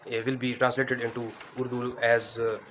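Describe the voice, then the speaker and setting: A man's voice: mid-range voice, little pitch movement, moderate pace, a bit bright, a little hiss or hum. A man, teaching English grammar in a hesitant way, with many fillers and restarts. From Pakistan. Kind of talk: spoken grammar lesson. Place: indoors against a plain wall.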